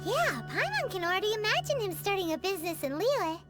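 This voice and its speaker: Squeaky, childlike fairy sidekick. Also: female voice, sing-song, brisk, loud music behind the voice. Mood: impressed, cheery. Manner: exclaiming, third-person self-reference. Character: tiny childlike floating fairy companion, chirpy and excitable. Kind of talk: video game voice acting.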